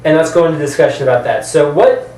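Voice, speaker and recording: Man, casual co-host, slightly high voice, informal, roomy distant sound. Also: fast, a little mellow. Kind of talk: casual movie chat. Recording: roomy, distant mic, faint background noise.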